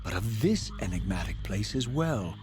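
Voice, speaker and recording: Undead wraith, game narrator: low voice, vengeful, eloquent, formal and grave, music underneath. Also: male voice, big pitch swings, moderate pace, a little mellow. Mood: brooding, intrigued. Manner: formal, grave, theatrical diction. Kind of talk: dramatic scripted game narration. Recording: music behind the voice.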